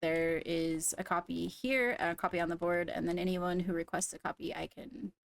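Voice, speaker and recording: A woman's voice: fairly low pitch, steady tone, normal speed, creaky. A woman chatting casually with a group while playing a roleplay game. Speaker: Minecraft roleplay player.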